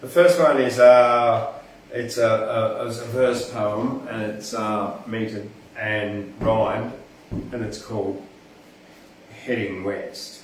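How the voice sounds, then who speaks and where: In a very echoey space, a little far from the microphone, an Australian man hosts an informal poetry reading. He speaks at a slow pace, moving between recited verse and rambling, chatty asides. A faint noise sits behind him. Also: fairly low pitch, fairly even intonation. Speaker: poetry podcast host and reader. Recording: echoey, distant mic, faint background noise.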